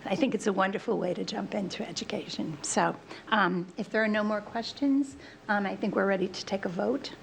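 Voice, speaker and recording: A woman chairing a school board committee meeting, reading motions in a formal, brisk way. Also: medium pitch, gravelly, a little hiss or hum. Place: meeting room.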